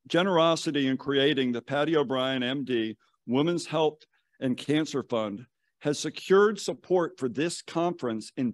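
Formal older man, higher-pitched voice at a podium. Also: dry recording, studio quality. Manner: measured, formal, reading from notes. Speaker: medical college dean giving welcome remarks. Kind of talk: formal prepared welcome speech. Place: conference hall stage at a podium, indoors. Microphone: podium gooseneck microphone.

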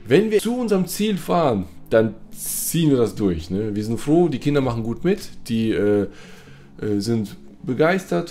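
A man's voice: on the low side, warm tone. Chatty man vlogging from a camper van. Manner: chatty, tag questions "ne?". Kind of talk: casual family travel vlog. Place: inside a camper van and outdoors by it. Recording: road and vehicle noise.

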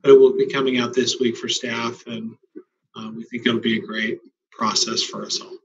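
A man with a mid-range voice talks through staff questions over a video call. He sounds conversational and pauses on "uh." The sound is muffled and lo-fi. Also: mid-range voice, little pitch movement, background noise. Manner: conversational, "uh" fillers. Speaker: school district administrator. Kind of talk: answering staff questions in a town hall. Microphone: video call webcam. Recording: dull lo-fi video call audio.

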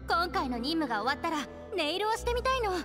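A woman's voice: very high voice, big pitch swings, fast, big-room sound. Cool, sardonic young lady, music behind. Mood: composed. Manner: dry, feminine phrasing. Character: cool, sardonic young woman, aloof older sister. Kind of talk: Japanese anime game acting. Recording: clear background music.

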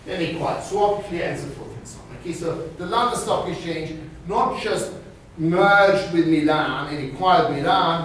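A middle-aged man lectures on finance, his voice a little raised in pitch and lively with gestures. He is picked up by a distant camera in a small, very echoey classroom. A faint hiss sits under the sound. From Austria. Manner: animated, gestures widely, peppers talk with "okay?". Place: small classroom with screen and whiteboard. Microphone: far camera.

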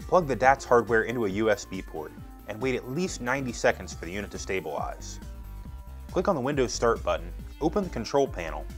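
Man, thirties, animated intonation, fairly low voice, explanatory, scripted how-to narration. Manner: methodical, explanatory. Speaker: product demonstrator.

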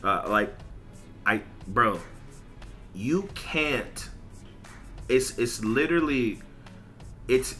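A man's voice: on the low side, pushed and bright. A man ranting casually as a gaming streamer, blunt, emphatic and repetitive, with lots of slang and swearing. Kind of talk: casual livestream rant over gameplay.

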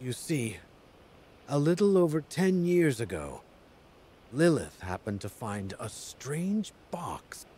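A man's voice: mid-range voice, sing-song, slow pace, mellow, slight background noise. A man voicing a kindly, dignified middle-aged king in a video game, earnest and formal. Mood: calm, explaining.

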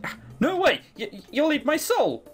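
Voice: high-pitched, huge pitch swings, unhurried, voice pushed up, full character voice. A let's player voicing a tired, suspicious young traveller boy in a visual novel game, blunt and folksy. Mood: frightened.

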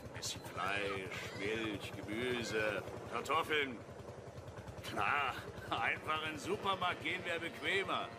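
An actor playing a chatty, nosy older farmer with a blunt, folksy, rambling way of talking in a crime radio play. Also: male voice, medium pitch, takes it slowly, background noise, natural voice.

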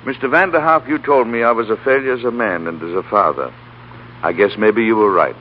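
A man's voice: on the low side, brisk, close to an everyday voice. An actor playing a humbled but determined middle-aged businessman in a 1930s radio play. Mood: humbled, resolute. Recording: muffled, noisy 1930s radio broadcast.

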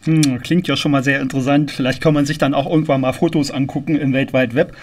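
A man hosting a casual radio interview, asking loose, halting questions that ramble a little. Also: mid-range voice, steady tone, darkish tone. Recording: clearly noisy, clicking sound.

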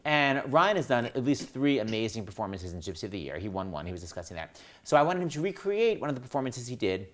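A man in his forties hosting a casual interview, quick and joking, in a small studio. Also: mid-range voice, big pitch swings. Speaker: host.

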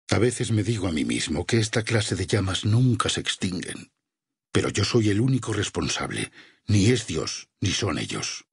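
A man voicing a burned, devout warrior in a video game, solemn, measured and vengeful, with a husky, gravelly voice and a Castilian Spanish accent. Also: sits low, dry recording, clean studio sound, full character voice. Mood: vengeful, brooding. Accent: Castilian Spanish from Spain. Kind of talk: dramatic game character dialogue.

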